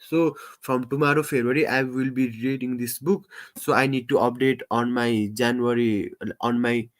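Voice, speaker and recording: A man hosting a casual live stream, talking in halting English full of fillers and restarts, and he says he stammers. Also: medium pitch, steady tone. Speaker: livestream host.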